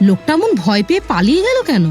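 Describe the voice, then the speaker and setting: An actress playing an anxious, questioning young newlywed wife in a horror audio drama. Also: female voice, on the high side, wildly swooping pitch, rapid-fire, a little mellow. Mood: puzzled. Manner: anxious, protesting. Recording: music under the voice.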